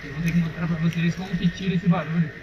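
A man's voice: on the high side, fairly even intonation, fast. Chatty Brazilian man riding a motorbike. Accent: Brazilian Portuguese. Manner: chatty, addresses viewers as "rapaziada". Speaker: motovlogger. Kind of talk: casual motovlog while riding. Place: outdoors on a dirt road, riding a motorcycle. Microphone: helmet-mounted action camera. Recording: muffled helmet camera with engine and wind noise.

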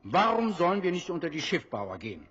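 An actor playing a sober, thoughtful leader of island castaways in an audio drama, speaking deliberately and with authority. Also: male voice, on the high side, wildly swooping pitch, fairly bright tone, husky, highs cut off, full character voice. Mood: reasoning, decisive.

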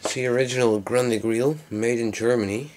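A man giving a casual, unscripted hands-on demonstration in a low, creaky voice, recorded on a handheld camera microphone. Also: fairly even intonation, a little fast, noisy background. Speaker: hobbyist video maker. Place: small indoor workroom.

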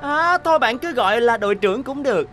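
A bright little boy bus from a cartoon, voiced by a woman. He chats along eagerly, showing off a bit, and covers his nerves with bashful laughs. The pitch sits a bit high over steady cartoon music. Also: quick pace, close to an everyday voice. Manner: chatty, bashful, nervous laughs. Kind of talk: Vietnamese cartoon dub.

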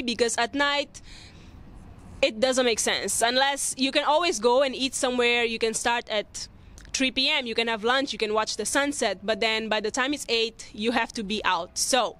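A woman's voice: on the high side, fairly bright tone. A young woman from Mozambique chatting casually as a vlog host, answering viewers' questions. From Maputo, Mozambique. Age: young adult. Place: open city rooftop, outdoors. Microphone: handheld earphone mic near mouth.

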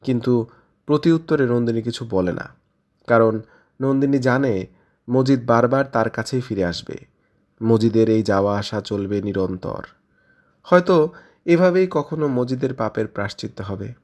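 A man at a middle pitch speaking to his listeners after a story reading. He talks it over reflectively, posing questions to the audience as he goes. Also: moderate pace, long pauses, darkish tone. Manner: reflective, addresses listeners directly. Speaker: audio story channel host. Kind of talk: literary commentary.

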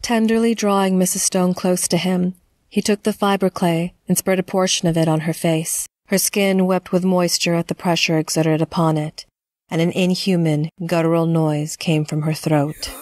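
A woman narrating a scripted horror story in a measured, dramatic storytelling manner. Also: fairly low pitch, little pitch movement, darkish tone, dry recording.

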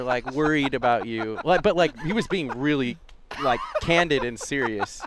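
A man in his thirties talks casually in an animated, storytelling way, often breaking off for self-mocking asides. He is recorded outdoors on a phone held at arm's length, with faint background noise. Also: mid-range voice. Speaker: racing driver guest. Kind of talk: casual chat. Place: outdoors in sunny yard.

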